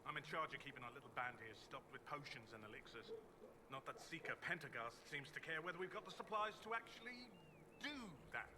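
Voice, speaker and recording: A man's voice: on the high side, lively intonation, brisk, natural voice. Blunt, sardonic apothecary played by a man, noisy backing. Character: gruff, grumbling male village apothecary, dutiful and sardonic. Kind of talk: scripted fantasy game dialogue. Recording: game audio with clear background noise.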